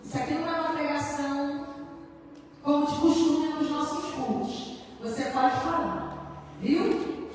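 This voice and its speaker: Woman pastor, Rio de Janeiro accent, high voice, emphatic sermon delivery, direct exhortations, very reverberant hall. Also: fairly even intonation, unhurried, pauses often, soft background music. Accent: Rio de Janeiro Brazilian Portuguese. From Rio de Janeiro, Brazil. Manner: emphatic, exhorting, with rhetorical questions and direct address. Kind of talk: sermon to married couples. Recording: very echoey hall, distant microphone.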